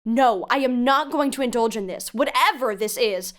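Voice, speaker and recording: A woman's voice: fairly high pitch, moderate pace, lightly acted. An actress playing a protective, insistent female investigative podcaster in an audio drama, questioning firmly and earnestly. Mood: alarmed, angry. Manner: probing, firm, earnest. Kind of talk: audio drama phone dialogue.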